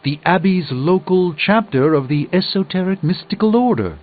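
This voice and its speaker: A grandiose game sorcerer, sounding thin and phone-like with music behind. His fairly high voice sweeps widely as he lectures in elaborate, self-important phrases. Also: lively intonation, normal speed. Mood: lofty, patient. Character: pompous, theatrical, learned male sorcerer and mystic. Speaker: video game character actor. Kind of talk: theatrical video game dialogue.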